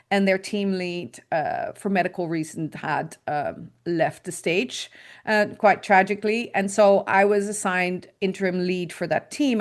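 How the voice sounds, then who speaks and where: A middle-aged woman from the Netherlands with a Dutch accent, a guest consultant talking casually and thoughtfully, with frequent ums, over a video call. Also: mid-range voice. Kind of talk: casual interview talk.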